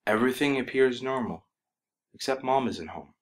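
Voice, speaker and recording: A man reading a scripted horror story as its narrator, in an ominous, dramatic manner that can drop to a hush. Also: mid-range voice, lively intonation, normal speed.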